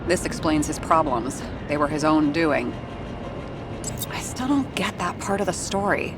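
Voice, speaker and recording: An actress playing a blunt, troubled woman investigator in a dystopian noir video game, direct, questioning and sharp-tongued. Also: deep voice, lively intonation, measured pace, noisy background. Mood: serious, intrigued. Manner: direct, questioning, foul-mouthed. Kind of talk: cinematic video game dialogue.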